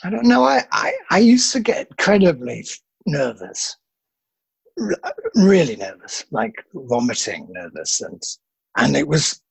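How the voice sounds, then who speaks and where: A middle-aged film actor with a husky voice, answering interview questions in a self-deprecating, halting way. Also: male voice, fairly high pitch, sing-song, no room sound.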